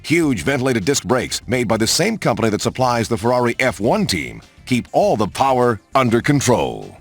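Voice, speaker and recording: Male promo narrator, mid-pitched with animated swings, polished announcer read, music bed underneath. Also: moderate pace. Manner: polished, emphatic announcer delivery. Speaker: game showcase narrator. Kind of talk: scripted promotional car narration. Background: background music.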